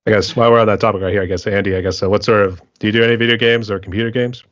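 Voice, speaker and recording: A man's voice: fairly low pitch, steady tone, very fast, a bit bright, dry recording, muffled recording. An American man hosting a podcast, asking loose, rambling questions in a casual chat. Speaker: podcast host.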